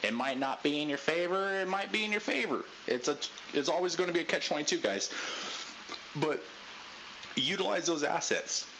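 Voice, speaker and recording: A man leading a group debrief in a casual pep-talk style, talking loudly with a lot of inflection. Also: animated, normal speed, noisy background. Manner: loud, high voice inflection. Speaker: unit leader giving a debrief.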